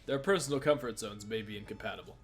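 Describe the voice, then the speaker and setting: A man's voice: on the low side, fast. Man reading a game aloud over music. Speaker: host reading a visual novel. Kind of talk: let's play narration with joking banter.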